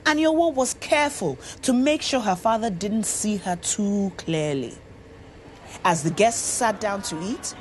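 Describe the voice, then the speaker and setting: A woman reading a scripted story as an expressive narrator, deliberate and dramatic. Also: high-pitched, slight background noise. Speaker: story reader. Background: music under the voice.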